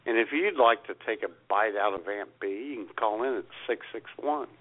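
A man with a lowish voice co-hosts a comedy radio chat. He is laconic and dry, and the recording is dull and lo-fi. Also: a little fast. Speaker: radio show co-host. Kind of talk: casual comic chat.

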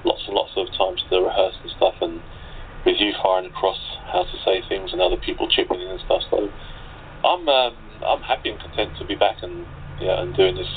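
A man chatting casually as a voice actor, hesitant and apologetic in the way he talks. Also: sits low. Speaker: voice actor in a radio drama group. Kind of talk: casual chat. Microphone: internet call. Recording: tinny phone-like line, faint noise.

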